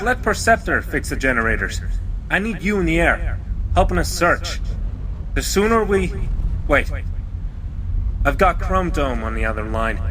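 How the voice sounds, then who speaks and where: An actor playing a busy, no-nonsense robot commander in an audio drama, with dry wit and an impatient manner. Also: male voice, on the high side, background noise.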